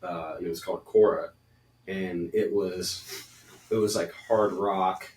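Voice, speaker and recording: A man who sings in a band chats loosely, circling back and restarting his phrases as he goes. His voice sits a bit low and comes from a step away from the mic. The room around him rings with echo. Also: steady tone, unhurried, darkish tone. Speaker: band singer. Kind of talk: casual podcast chat. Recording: roomy echo, distant mic.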